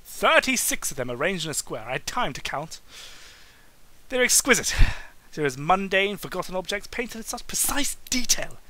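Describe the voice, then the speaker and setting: A man's voice: sits high, big pitch swings, moderate pace, bright, forceful tone, low-quality recording. A man giving a goofy, exaggerated comedic reading of prose, often stumbling and breaking into asides. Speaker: amateur reader making a comedic dramatic reading. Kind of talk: hammy comedic audiobook-style narration.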